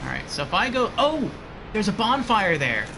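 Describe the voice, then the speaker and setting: A man's voice: sits high, normal speed, gravelly, noisy background. A man casually commenting on his gameplay from his attic room and chatting with viewers. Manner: relaxed, chatty, reads out chat messages. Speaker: streamer playing a game.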